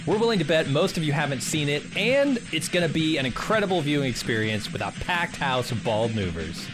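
A man with a higher-than-average, very lively voice reads a hyped event promo as a podcast host. Guitar music is plainly audible behind him. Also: fairly quick. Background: plucked guitar music.

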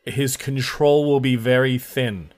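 A man's voice: medium pitch, a little slow, a little mellow. A man running a tabletop game as game master, explaining the situation and steering the players.

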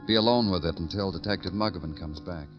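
A man acts out a brooding big-city detective of 1950s radio noir. His low voice moves deliberately through somber, lyrical narration, with music playing behind it. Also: highs cut off, natural voice. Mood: mournful. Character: weary, brooding male police detective narrating his beat.

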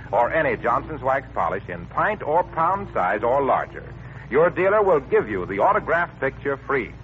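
An American man working as a radio announcer, reading 1940s-style advertising. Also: fairly high pitch. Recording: dull, noisy old radio recording.

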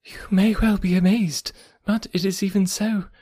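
A reader voicing a nervous middle-aged woman with a trembling, hushed voice in an audiobook. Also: mid-range voice, lively intonation, normal speed, slightly rough, voice pushed up. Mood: anxious. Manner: hesitant, hushed, half-whispered. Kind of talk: audiobook character voice.

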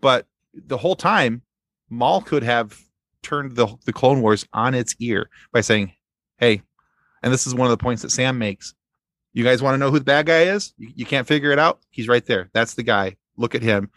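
A man's voice: medium pitch, normal speed, a bit bright, no room sound. A man in a casual fan discussion as a podcast guest, rambling and circling back to repeat his phrases.

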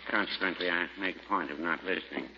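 An actor playing a mild, unflappable older druggist with a fussy, deadpan manner in 1940s radio comedy style. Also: male voice, low voice, fast, close to an everyday voice. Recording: muffled, noisy old radio broadcast.

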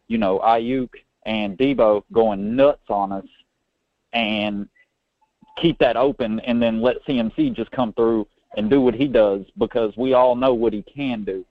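A man calling in to a sports show, relaxed and friendly, with hesitant fillers. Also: mid-range voice, dry recording. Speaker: caller. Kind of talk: casual sports chat. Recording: tinny dry phone line.

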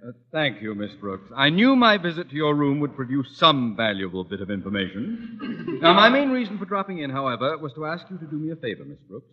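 A man's voice: a little high, big pitch swings, brisk. An actor playing a pompous, irascible middle-aged male school principal in a 1940s radio sitcom, clipped and imperious. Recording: dull vintage radio recording.

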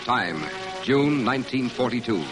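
A man with a medium-pitched voice narrates a radio drama solemnly and dramatically. Very loud music plays around him on the vintage recording. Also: animated, measured pace.

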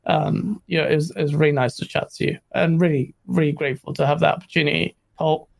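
Man, gravelly, combative joking tone, rambling casual chat. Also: a little high, fairly even intonation, quick pace. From Pakistani heritage. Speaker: guest, video creator.

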